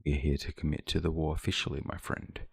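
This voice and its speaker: Man, extremely deep, gravelly fry, dry recording, relaxed game-story narration. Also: fast talker, no echo. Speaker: gaming video creator narrating. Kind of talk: relaxed scripted narration of quest logs.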